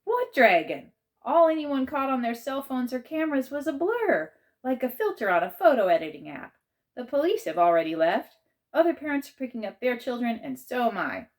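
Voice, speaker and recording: A woman voicing an exasperated, brisk, protective aunt in a read-aloud children's story. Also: on the high side, very animated, voice pushed up. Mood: dismissive, firm.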